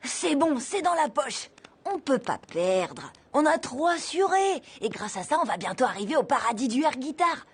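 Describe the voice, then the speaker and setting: A woman's voice: high voice, big pitch swings, moderate pace. A woman voicing an enthusiastic, confident young schoolboy in a French cartoon, upbeat and persuasive as he leads his friends. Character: enthusiastic, scheming, confident schoolboy, cheerful band leader.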